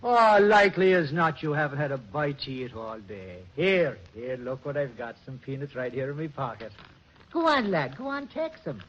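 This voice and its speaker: An actor playing a kindly, folksy station master who talks jovially and chuckles, in 1940s radio acting. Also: male voice, on the high side, sing-song, moderate pace, a bit bright. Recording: muffled old radio recording with faint hiss.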